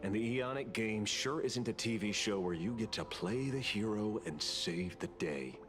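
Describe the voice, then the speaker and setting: A man's voice: on the low side, animated, normal speed, lightly acted. An actor voicing a stern male interrogator in a video game, cold and probing, lecturing as he questions people. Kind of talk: game dub dialogue. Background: game music.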